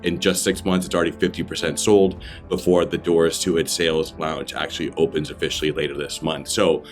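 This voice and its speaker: A man's voice: extremely low pitch, little pitch movement, a little fast, soft background music. A man hosting a real estate podcast, reading a polished, upbeat intro and asking interview questions. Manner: upbeat, polished announcer delivery. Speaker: podcast host.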